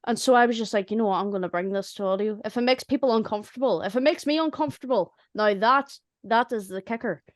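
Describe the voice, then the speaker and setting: A woman chatting casually and humorously about making audio dramas, in a chatty, animated way. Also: on the high side, fast, hardly any pauses, no room sound, studio quality. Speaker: audio drama writer and voice actor. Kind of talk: casual chat.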